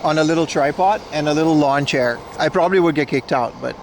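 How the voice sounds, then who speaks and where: A man with a somewhat high voice livestreams from beside a city railway platform. He answers his viewers casually and ribs them with playful mock insults. Faint trains and city traffic hum behind him. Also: fast talker. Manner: teasing, mock-insulting banter.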